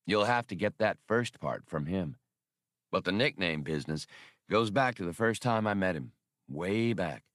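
A man's voice: deep voice, animated, normal speed, a bit bright, no echo, studio quality. A man voicing a seasoned, easygoing ex-officer in a space adventure audiobook, spinning yarns with wry mildness. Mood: mild, amused. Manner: wry, mild storytelling. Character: seasoned, easygoing male ex-officer telling old war stories.

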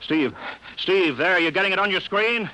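An actor playing a harried, earnest air base project chief in a vintage radio drama. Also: male voice, high-pitched, animated, normal speed, sharp tone, low-quality recording. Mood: urgent. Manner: pressed, earnest. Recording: old radio recording with faint noise.

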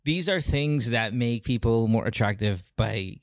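A Filipino man co-hosting a casual chat in English and Tagalog, emphatic and opinionated, quick to laugh. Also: medium pitch, lively intonation, dry recording, studio quality. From Philippines. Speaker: podcast co-host.

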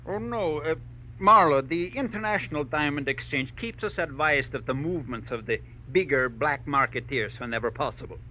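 An actor playing an exacting middle-aged millionaire mine owner in a 1940s radio drama, emphatic and deliberate in his phrasing. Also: fairly high pitch, pushed and bright. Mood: businesslike. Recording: dull, hissy old radio recording.

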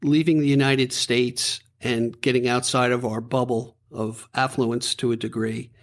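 A chatty man in his fifties hosts an interview, rambling through his questions. His voice sits at a middle pitch. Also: little pitch movement, unhurried. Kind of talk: casual interview.

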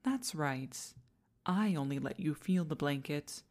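A haughty demon queen, acted by a woman, with a low voice and slow, measured delivery. She talks to the listener in pompous commands in an intimate ASMR roleplay, proud but easily flustered. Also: animated, a little mellow. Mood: smug, relaxed.

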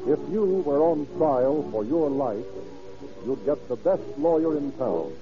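A man's voice: a little high, expressive, a little slow, music in the background. An American man announcing and narrating a scripted 1930s radio program in a formal, declamatory manner. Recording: dull old radio broadcast recording.

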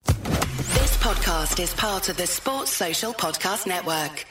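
A woman announcer reading a network ident slowly and evenly. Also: lowish voice, expressive, a bit bright, roomy sound, distant mic, faint music behind.

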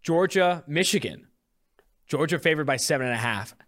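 A man's voice: a little high, expressive, normal speed, fairly bright tone. A man hosting a sports podcast, casually analysing games and betting in an emphatic, mildly profane way.

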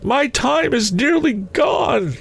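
Storybook ghost of a dead businessman: anguished, solemn, very high wail with wide swings, declamatory. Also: sing-song, vocal fry, breathy edge, heavily acted. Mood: urgent, grave. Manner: mournful, declamatory, drawn-out solemn delivery. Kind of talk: dramatic storybook reading.

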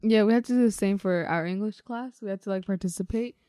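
A young woman talks casually as a podcast co-host. Her pitch is average and her phrasing is tentative, with frequent hedges. The recording is dry and free of room echo. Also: fast, dark tone, clear, resonant tone. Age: young adult. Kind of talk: casual chat.

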